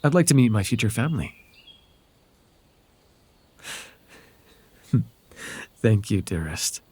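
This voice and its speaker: A man voicing a possessive fae royal in an ASMR roleplay, courtly and coaxing with soft menace under a silky, creaky voice. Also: on the low side, sing-song, normal speed. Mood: playful, possessive. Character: possessive, silky male fae royal wooing a captive lover. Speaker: voice actor.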